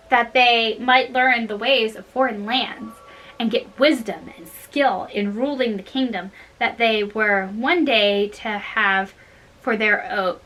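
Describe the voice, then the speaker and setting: A woman reading storybook tales aloud in a halting, deliberate way, with casual wry asides. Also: on the high side, pushed and bright, low-quality recording. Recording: noticeable background noise.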